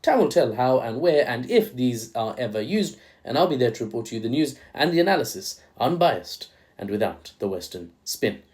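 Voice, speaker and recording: A man's voice: mid-range voice, sing-song, normal speed, darkish tone. Joking young man commenting on news. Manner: joking, irreverent asides.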